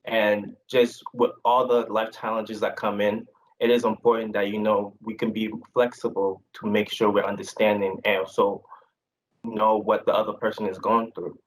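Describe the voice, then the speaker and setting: A young man from Haiti speaking as a panelist on a video call, earnest and measured. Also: on the low side, level tone, low-quality recording. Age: young adult. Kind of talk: virtual panel discussion.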